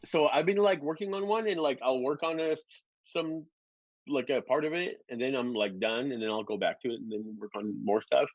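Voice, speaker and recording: A man's voice: mid-range voice, normal speed, no echo, highs cut off. A man in his forties, a guest drone pilot, chatting casually and rambling, swearing freely and laughing often. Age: 40s. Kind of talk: casual chat.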